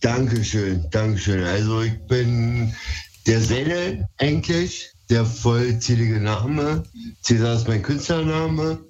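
A man's voice: medium pitch, slow pace, darkish tone, a little hiss or hum. A man from Berlin with a Berlin accent, calling a radio show by phone, chatting casually in a hesitant, slightly nervous way. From Berlin, Germany. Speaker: phone-in caller. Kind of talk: casual chat. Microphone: phone call to a radio show. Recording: dull lo-fi phone call.

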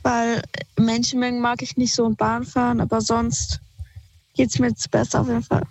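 Brief: woman caller, higher voice, flat delivery, hesitant, speaking into a phone held to her ear. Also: moderate pace, dry recording, low-quality recording. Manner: hesitant, uses many fillers. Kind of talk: phone-in conversation.

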